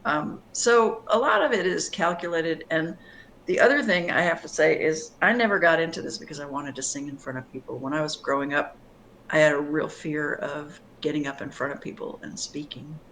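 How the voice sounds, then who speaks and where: Bright-voiced woman singer-songwriter. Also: low voice, moderate pace, a little hiss or hum, poor audio. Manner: thoughtful, reflective, with frequent fillers and restarts. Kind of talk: conversational interview answers.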